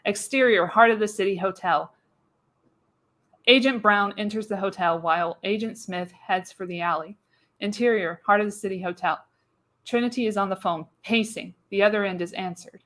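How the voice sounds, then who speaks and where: A woman narrates a screenplay live as a radio play. Her medium-pitched voice reads the action with dramatic, storytelling emphasis. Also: moderate pace, fairly bright tone.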